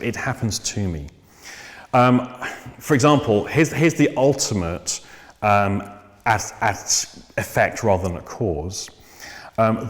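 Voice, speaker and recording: A man teaching about psychotherapy in a measured, thoughtful way, pausing often with ums and restarting his sentences. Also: fairly low pitch. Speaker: psychotherapy trainer. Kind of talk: unscripted lecture on therapy. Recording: long reverb.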